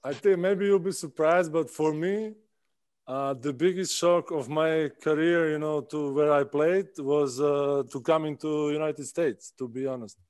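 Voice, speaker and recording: A man in his thirties with a Czech accent talks haltingly, stopping and restarting his sentences. His voice is smooth and clean, recorded close and dry on a laptop mic at home. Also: on the high side, steady tone, a little mellow, no echo. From Czech Republic. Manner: halting, many "uh" pauses and restarts. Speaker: guest basketball player. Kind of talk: casual interview answers. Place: indoor room at home. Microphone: video call laptop mic.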